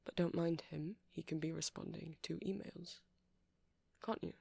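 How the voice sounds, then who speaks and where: A soft-spoken man doing a rambling ASMR roleplay as a shopkeeper, hesitant and apologetic in manner. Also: fairly high pitch, steady tone, moderate pace, creaky, breathy edge, no echo. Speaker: character performer playing a shopkeeper.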